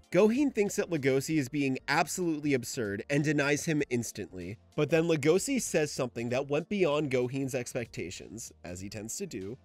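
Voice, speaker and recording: A man's voice: medium pitch, dry recording. A man narrating a scripted video essay in an enthusiastic, informal way, with casual asides and some swearing.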